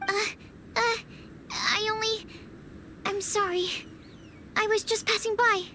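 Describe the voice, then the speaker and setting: A woman's voice: very high-pitched, fairly even intonation, takes it slowly, noisy background. A woman voicing a timid, polite young female alchemist who stammers and apologizes. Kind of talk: video game voice acting.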